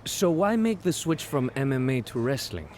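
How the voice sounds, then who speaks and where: A man playing a stern, skeptical interviewer in a video game cutscene, with a measured, challenging manner. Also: medium pitch, sing-song, a little mellow, slight background noise, close to an everyday voice. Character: stern, skeptical male interviewer at wrestling tryout.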